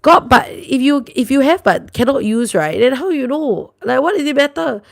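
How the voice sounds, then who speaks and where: Chatty Singaporean woman, gravelly voice. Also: high-pitched, expressive, normal speed, no room sound. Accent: Singaporean English. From New Zealand and Singapore. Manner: chatty, reacts aloud to comments, sprinkles lah and lor. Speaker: livestream host. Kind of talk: casual livestream chat reading viewer comments.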